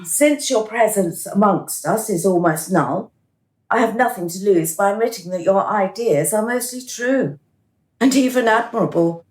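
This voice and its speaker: An actress as a female bureaucrat of a dystopian afterlife, in radio drama style. She is cool, smug and officious, with a mocking edge. Her mid-pitched voice rings in a roomy, echoing space. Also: darkish tone, close to an everyday voice.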